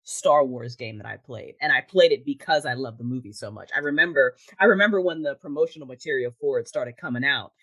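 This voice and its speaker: A woman chatting casually as an interview guest, animated and enthusiastic like a devoted fan. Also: a bass voice, a little fast, few pauses. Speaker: podcast guest, writer and audio drama creator.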